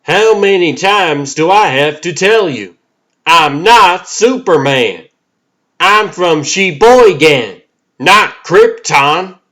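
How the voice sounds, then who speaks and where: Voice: mid-range voice, expressive, slow, fairly bright tone. A man playing a harried, insistent ordinary family man in a comic-book dub, exasperated and emphatic in his denials.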